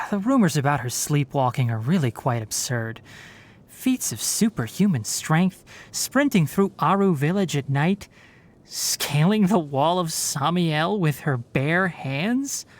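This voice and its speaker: A man voicing a sensible, wry young animal-eared forest ranger scholar in a game, speaking in an articulate, measured way with dry sarcasm. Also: fairly high pitch, big pitch swings. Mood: wry, exasperated. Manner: articulate, measured, dryly sardonic. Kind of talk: scripted game character voice lines.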